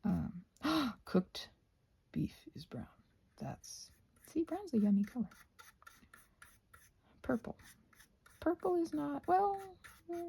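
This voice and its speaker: A woman with a soft, breathy voice, often close to a whisper, musing aloud in a playful, whimsical ASMR ramble as she crafts. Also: mid-range voice.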